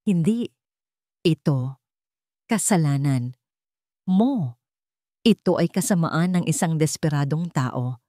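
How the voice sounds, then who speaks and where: A woman's voice: low voice, lively intonation, slow, long pauses, mellow, dry recording. Woman plays determined Filipino male doctor in an audio drama story: protective, intense, pleading, dry sound. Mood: fierce determination.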